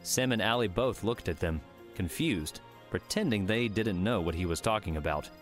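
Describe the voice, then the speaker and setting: A male narrator with a low, deep voice reads scripted fiction. His storytelling is measured, with music faintly underneath. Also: expressive. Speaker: audiobook narrator.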